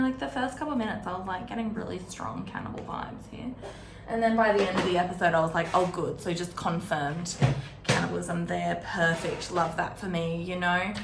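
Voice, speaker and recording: A woman's voice: fairly low pitch, gravelly. Chatty woman doing a casual book chat, wry little asides, echoey room with a bit of background noise. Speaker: host of a book readalong series. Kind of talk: casual rambling book discussion. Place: indoor room at a desk. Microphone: camera set on or near desk.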